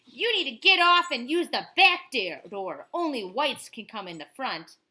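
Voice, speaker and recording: A woman voicing a gruff, bullying male bus driver from a children's storybook, barking bossy orders. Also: high voice, animated, unhurried, pushed and bright, heavily acted. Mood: angry, barking.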